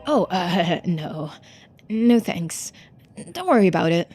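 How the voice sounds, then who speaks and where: A woman voicing an earnest, subdued young diver in a video game, speaking seriously and with quiet determination. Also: lowish voice, very animated, takes it slowly, soft background music. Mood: awkward, hesitant.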